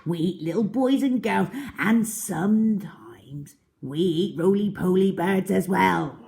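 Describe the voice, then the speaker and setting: A woman's voice: medium pitch, gravelly, mellow, voice pushed up. Woman voicing a huge, greedy, gloating crocodile villain. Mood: menacing. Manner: gloating, relishing each drawn-out word. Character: enormous wicked crocodile, boastful, greedy and menacing.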